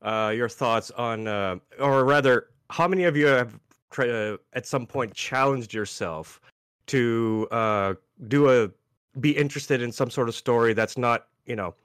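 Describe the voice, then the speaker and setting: A man of Pakistani origin calling in to ask a panel a question, halting and hesitant with many fillers. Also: mid-range voice, fairly bright tone, clear, resonant tone, dry recording, clean studio sound. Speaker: caller asking a question.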